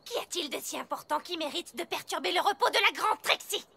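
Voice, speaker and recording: A woman's voice: extremely high pitch, lively intonation, brisk, creaky, heavy background noise, heavily acted. A woman voicing a haughty, boastful female pony stage magician, grandiose and theatrical, in a children's cartoon. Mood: haughty, annoyed. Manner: grandiose, speaks of herself in third person.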